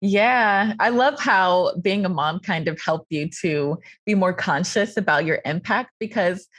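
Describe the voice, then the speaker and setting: A woman's voice: on the low side, a little slow, a bit bright, no room sound, studio quality. A woman hosting a podcast, upbeat and enthusiastic, greeting her guest and asking friendly questions. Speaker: podcast host.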